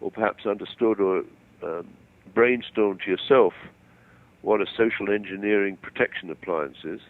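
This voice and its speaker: An older man explaining his views over the phone, self-assured but halting and digressive. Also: lowish voice. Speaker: phone-in guest. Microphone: telephone. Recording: tinny narrow-band phone call.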